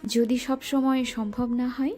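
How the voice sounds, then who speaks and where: A woman's voice: a little high, fairly even intonation, unhurried, a little mellow, natural voice. A woman playing a shy young female student who is guarded and teasing toward her admirer in an audio drama. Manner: bashful, doubtful, sometimes scolding.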